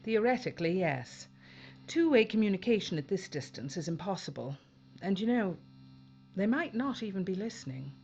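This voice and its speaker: A woman playing a weary, determined spaceship pilot and commander in a science fiction audio drama, brisk and businesslike with a wry edge. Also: medium pitch, very animated, a little mellow, dull audio, slight background noise. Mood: measured, wry.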